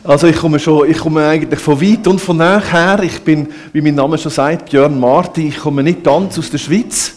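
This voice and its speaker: Man, swiss german accent, salvation army officer, church interview, relaxed rambling explanations, slightly high voice. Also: normal speed, hardly any pauses. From Norway and Switzerland.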